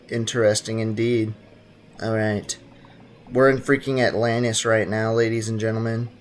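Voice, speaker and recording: A young man, chatting casually with his live-stream audience while playing a video game. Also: medium pitch. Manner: chatty, greets chat, talks to himself while playing. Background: faint game music.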